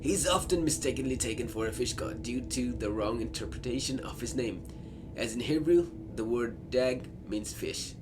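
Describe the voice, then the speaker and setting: A man's voice: medium pitch, normal speed, low-quality recording. A man giving live commentary as he plays a horror game, often repeating himself. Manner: excitable, stammering, repeats himself. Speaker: gaming video host. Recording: music playing behind the voice.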